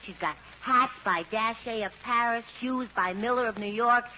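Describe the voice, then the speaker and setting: A woman's voice: a little high, moderate pace, bright, forceful tone. A woman playing a brassy, loyal young roommate, slangy and wisecracking, in old radio comedy style. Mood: wry, sympathetic. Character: brassy, scheming, loyal working-class young female roommate. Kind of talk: 1940s-50s radio comedy acting. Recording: muffled, noisy old radio recording.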